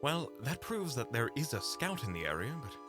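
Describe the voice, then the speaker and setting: Male adventurer played by a man, medium pitch, wry, practical, dry humour, audio roleplay, music underneath. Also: very animated, normal speed, natural voice.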